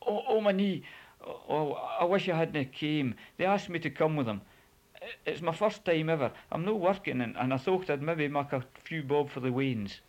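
A man's voice: animated, moderate pace, dull audio. A man putting on a whining panicky Scottish poacher. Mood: whining pleading. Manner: high-pitched, stammering, pleading.

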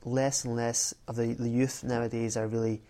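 A man's voice: mid-range voice, flat delivery, normal speed, warm tone. A man being interviewed about his newspaper work, talking in a hesitant way with many fillers and restarts. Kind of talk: research interview.

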